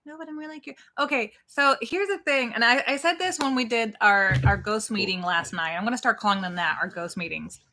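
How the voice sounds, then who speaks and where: A woman's voice: on the high side, a little fast, few pauses, sharp tone. A woman in her forties co-hosting a casual live stream, chatty and reading out viewers' comments. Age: 40s. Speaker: co-host.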